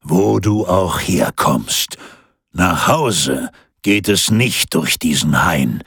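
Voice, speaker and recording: A man doing a booming, menacing forest giant. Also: expressive, a bit bright, slightly rough, no echo, studio quality, exaggerated voice. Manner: deep, rumbling, slow and threatening.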